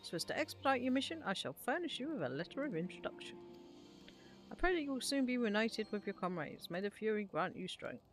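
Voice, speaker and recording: A woman's voice: a little high, sing-song, fairly quick, soft background music, voice pushed up. A woman reading aloud the part of a courteous, formal nobleman in a fantasy game.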